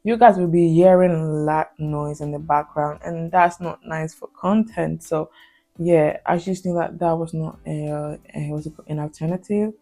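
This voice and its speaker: A woman's voice: low voice, steady tone, measured pace, warm tone, soft background music. A young woman from Spain, a Spanish native speaker with a Spanish accent, talking through a personal story in a hesitant, filler-heavy casual vlog style. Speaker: vlogger. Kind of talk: confessional casual vlog talk.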